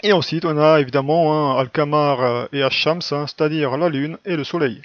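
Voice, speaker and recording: A man's voice: a little high, steady tone, normal speed, dry recording. A man giving an informal, didactic spoken lesson in a colloquial style with frequent tag words, recorded close up with slightly dull, lo-fi sound. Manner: colloquial, didactic, frequent "hein" and "quoi" tags. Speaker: religious video teacher. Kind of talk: informal explanatory monologue.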